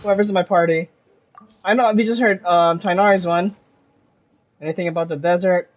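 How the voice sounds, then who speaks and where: Live game streamer: medium register, relaxed conversational commentary, musing aloud. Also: mid-range voice, normal speed, lo-fi sound. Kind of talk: casual live gameplay commentary.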